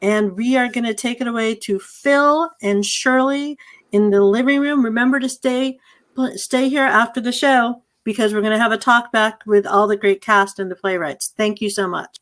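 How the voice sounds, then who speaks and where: A woman's voice: on the high side, normal speed, fairly bright tone. A woman chatting casually and earnestly as the host of a community theater event, effusive in manner. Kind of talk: casual, unscripted hosting chat.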